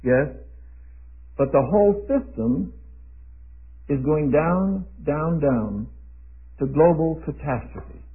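An elderly man in his eighties delivers a sermon in a husky, somewhat high voice. He speaks with emphasis and tosses questions out for the congregation to answer. The sound is thin and tinny, as if heard over a phone line. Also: animated, takes it slowly, pauses often. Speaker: preacher.

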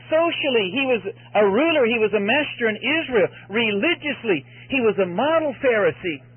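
A man's voice: very high-pitched, animated, moderate pace, breathy edge, no room sound. A man preaching an evangelistic sermon to an audience, earnest and exhorting, repeating phrases for emphasis, in a muffled lo-fi recording.

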